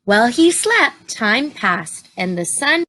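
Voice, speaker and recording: A woman reads a picture book to young children in a slow, deliberate storytelling style. Her voice is bright and fairly high. She is expressive and breaks off to explain things and put simple questions to her listeners. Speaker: teacher reading aloud to young children.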